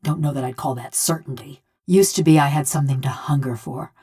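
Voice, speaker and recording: A woman's voice: sits low, a little fast, warm tone, husky. An actress playing a bitter, vengeful female killer trapped in a metal body, speaking folksy rustic game dialogue in a wry, brooding way. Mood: bleak, hollow. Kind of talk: scripted video game character dialogue.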